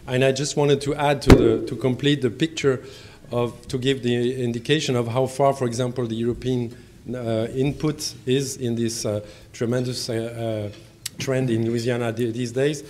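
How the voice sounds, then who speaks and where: A man with a French accent introduces panelists in measured, formal English. He stops often on "uh" and restarts his phrases, his voice sitting in a middle pitch. A faint hiss of background noise runs under the recording. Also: flat delivery, darkish tone. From France. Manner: halting, many fillers and restarts. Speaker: panel moderator and diplomat.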